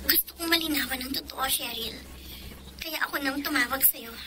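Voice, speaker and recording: A woman playing a hurt but compassionate young girlfriend in a Filipino radio drama, measured and restrained. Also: sits high, noisy background, lightly acted. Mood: hurt, subdued.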